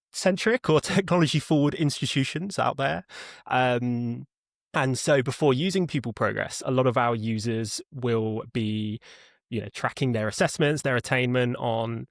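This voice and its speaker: A man's voice: mid-range voice, dry recording, clean studio sound. A man being interviewed as a podcast guest, explaining conversationally, rambling and repeating words as he thinks. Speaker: podcast guest, head of customer experience.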